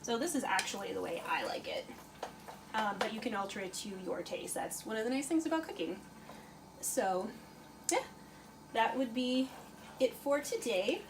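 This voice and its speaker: A woman's voice: fairly high pitch, expressive, normal speed, pauses often. A woman hosting a casual home cooking video, chatting with lots of fillers as she stirs a pot. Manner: chatty, many fillers, talks while stirring. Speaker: cooking channel host. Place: home kitchen. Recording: audible kitchen noise. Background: frying and stirring sounds.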